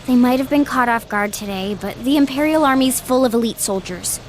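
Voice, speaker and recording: Woman acting an earnest high-voiced young ally. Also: brisk, a little hiss or hum, lightly acted. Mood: grave. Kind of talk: English dub video game cutscene acting. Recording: prominent music behind.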